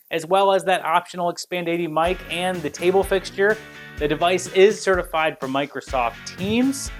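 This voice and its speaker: A man in his thirties gives a conversational, step-by-step product demo in an explanatory manner. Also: a little high. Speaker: tech reviewer and presenter.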